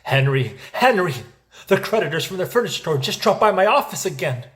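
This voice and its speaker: A man's voice: high voice, expressive, fairly quick, very noisy. An actor playing a desperate, nervous, hard-drinking family man in a stage drama read, anxious and deferential. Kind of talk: musical table read, dramatic stage acting.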